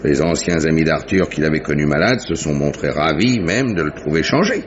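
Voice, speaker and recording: An actor playing a shrewd, amused amateur detective in an old French radio mystery, explaining things in a measured, theatrical way. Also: male voice, extremely low pitch, expressive. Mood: amused, explanatory. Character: shrewd, amused male amateur detective, modest about his deductions. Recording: dull, noisy old radio recording.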